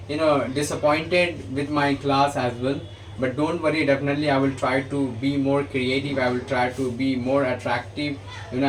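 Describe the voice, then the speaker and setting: A man's voice: fairly high pitch, fairly bright tone. A man with a Hindi mother tongue and an Indian English accent, teaching English grammar in a mix of Hindi and English, often stammering and restarting his sentences. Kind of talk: bilingual grammar lesson. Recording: distant mic with noticeable background noise.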